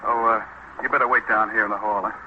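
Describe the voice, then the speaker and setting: An actor playing a steady, laconic American policeman with a dry manner in a radio drama. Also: lowish voice, quick pace, close to an everyday voice. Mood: watchful. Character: steady, wry, easygoing male police patrolman. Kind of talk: 1950s radio drama acting. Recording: muffled, noisy old radio recording.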